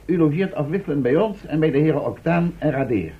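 A man's voice: on the high side, animated, fast talker, narrow, tinny audio, close to an everyday voice. A man playing a professor's sensible, questioning companion in a radio play, on a thin old recording. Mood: practical. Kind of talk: vintage Dutch radio drama acting.

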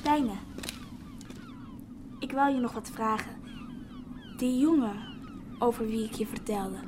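A woman's voice: on the high side, takes it slowly, background noise. An actress playing an earnest, doubtful young village woman in a radio drama, talking in a confiding way. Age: young adult. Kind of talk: Dutch radio drama acting.

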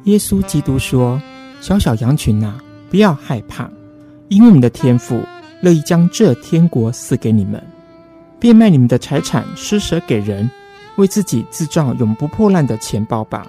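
Male late-night radio host, dark soft tone, medium pitch, gentle and polite, quiet guitar underneath. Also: very animated, normal speed. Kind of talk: late-night radio hosting and scripture reading. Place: radio studio, live broadcast. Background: soft plucked guitar music.

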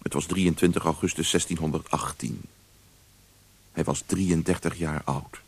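A man narrating a radio drama as a storyteller, measured and expressive in a scripted literary style. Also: very deep voice, creaky, husky.

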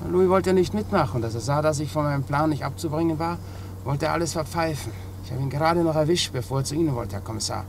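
A man's voice: on the high side, fast, gravelly. An actor playing a cornered male criminal confessing to an inspector in a 1950s radio crime drama. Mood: agitated. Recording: noisy old 1950s radio recording.

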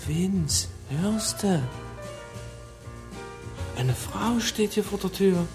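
A woman's voice: medium pitch, animated, drawn-out words, a little mellow, faint music behind. An actress playing a dreamy, exhausted young woman in a German radio drama. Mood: tender, dazed.

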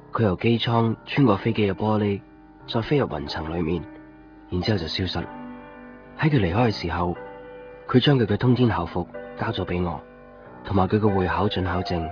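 A low, hushed young man with a Hong Kong accent narrates wistfully , played by a male radio actor. He sounds lonely and hesitant. The radio recording is dull, with music clearly underneath. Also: natural voice. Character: wistful lonely young man, anxious lovelorn student traveller. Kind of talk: radio drama monologue and dialogue.

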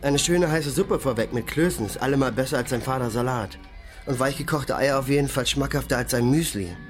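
An actor playing an opinionated, hot-tempered, meat-loving band musician with a blustering, pompous manner in a radio play. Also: male voice, medium pitch, a little fast, soft background music, close to an everyday voice. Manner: pompous pronouncements, coarse cursing.

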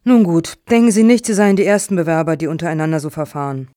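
A woman acting in a radio satire as a brisk, formal foundation juror with a businesslike, measured manner. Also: low-pitched, fairly even intonation, mellow, dry recording, clean studio sound, lightly acted. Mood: resigned.

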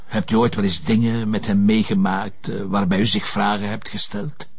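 A dogged Flemish police commissioner in a crime drama. His voice is very husky with a gravelly creak, and he probes in halting, impatient questions. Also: lowish voice, flat delivery. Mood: probing, dry. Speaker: actor in a detective drama. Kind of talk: naturalistic crime drama dialogue.

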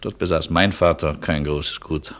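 An actor in a German radio play playing a courteous, wry refugee man, husky, speaking in polite, ironic and wordy turns. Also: extremely low pitch, fairly even intonation, moderate pace, a bit bright. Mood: politely ironic.

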